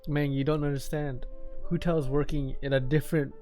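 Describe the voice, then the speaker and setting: A woman's voice: deep voice, flat delivery, normal speed, dark tone, faint music behind. A woman giving casual, amused live commentary while streaming a video game, chatting back at the characters.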